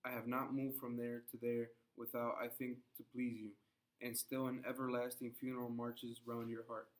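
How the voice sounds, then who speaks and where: A man's voice: medium pitch, monotone, normal speed, natural voice, rough recording. A man reading the part of a proud, defensive Puritan husband in a play, stern and exasperated. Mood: bitter, exasperated. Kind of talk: classroom play read-aloud.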